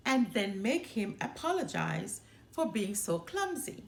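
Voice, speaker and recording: A woman reading a children's picture book aloud as a storytime host, with deliberate, expressive delivery. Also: mid-range voice, gravelly, echoey room, slight background noise.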